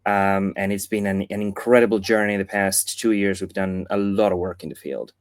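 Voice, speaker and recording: A man from Argentina with an Argentine accent, his voice sitting low and heard dry and close over a video call. He talks in a casual, thoughtful way, often stopping to rephrase mid-sentence. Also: steady tone, normal speed, dry recording. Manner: thoughtful, with frequent restarts and fillers. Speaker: guest, foundation chief of staff. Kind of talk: casual interview talk.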